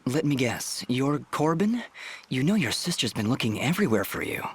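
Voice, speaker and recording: A calm young fantasy hero played by a man, kind and a little wry, with a mid-range voice. Faint game ambience sits behind him. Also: mid-range voice, moderate pace, slight background noise, natural voice. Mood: reassuring. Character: earnest young male swordsman hero, kind but wry. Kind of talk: video game voice acting.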